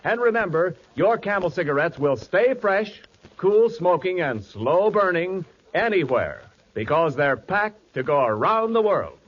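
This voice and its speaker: A man's voice: high-pitched, a little slow, lo-fi sound. A man announcing and reading a cigarette ad in a persuasive 1940s radio style. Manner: smooth, persuasive, lively.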